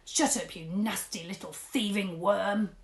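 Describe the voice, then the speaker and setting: A woman's voice: mid-range voice, normal speed, reverberant, pitched above the performer's own voice, lightly acted. A woman reading a storybook aloud, voicing a bossy police sergeant who roars orders. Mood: commanding. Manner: roaring, commanding.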